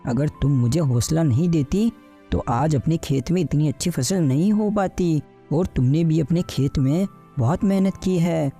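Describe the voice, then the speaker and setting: A man's voice: a little high, normal speed, mellow, husky, faint music behind. A man voicing a poor, worried village farmer with a plaintive, plain-spoken manner in a storybook cartoon. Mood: grateful, hopeful. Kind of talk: Hindi animated bedtime story dialogue.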